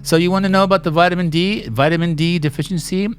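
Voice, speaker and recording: A man's voice: high-pitched, steady tone, fast. A man hosting a casual, joking chat with his elderly mother, laughing often and slipping into silly voices. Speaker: host interviewing his mother.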